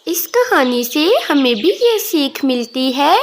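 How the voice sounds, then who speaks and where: Slow, high-voiced woman narrator. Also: very animated. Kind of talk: scripted children's story narration. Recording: faint noise, birdsong behind.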